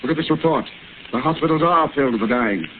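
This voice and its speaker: An actor playing a demanding, impatient city mayor in a vintage radio drama. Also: male voice, a little high, animated, fast talker, natural voice. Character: blustering middle-aged city mayor. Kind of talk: 1940s radio acting. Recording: lo-fi old radio broadcast, hiss.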